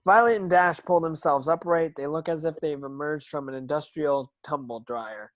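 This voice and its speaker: A man's voice: a little high, normal speed, dry recording. A man narrating screen action from a script as a stage directions reader, now and then stumbling over words.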